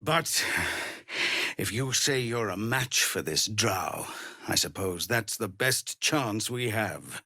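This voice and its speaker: An actor playing a weary, honourable tiefling ex-paladin leading refugees in a fantasy game, husky and breathy, with grave, measured, formal delivery. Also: male voice, on the low side, expressive, sharp tone, no echo, studio quality, heavily acted. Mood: grim, guarded. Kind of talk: dramatic fantasy game voice acting.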